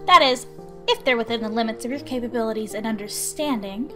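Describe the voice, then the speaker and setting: A woman's voice: on the high side, music in the background, natural voice. A woman voicing a patient, formal female researcher working as a substitute tutor in a visual novel. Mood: patient, firm. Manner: polite, measured, earnest. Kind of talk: visual novel character reading.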